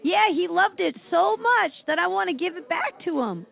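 A scatterbrained, eager party planner in a comic audio skit. Her puppet-like voice is extremely high and heavily gravelly. The recording is thin and phone-like. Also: lively intonation, moderate pace, exaggerated voice. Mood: excitable, earnest. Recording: tinny, phone-like band.

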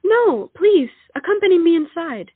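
A woman's voice: very high-pitched, sing-song, normal speed, dry recording, narrow, tinny audio. An actress playing a bold, poetic young lady of a wealthy colonial family, forthright and theatrical in a comic audio play. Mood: eager, charmed.

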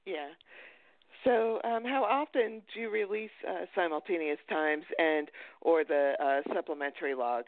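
A man hosting a casual interview, asking questions in a hesitant, halting way. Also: on the high side. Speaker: podcast host, interviewer. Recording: tinny phone-like line, roomy echo.

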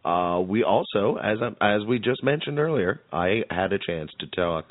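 Low-voiced male podcast host, phone-like sound. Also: expressive, normal speed, no room sound. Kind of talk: casual joking podcast chat. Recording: thin phone-like sound, very dry.

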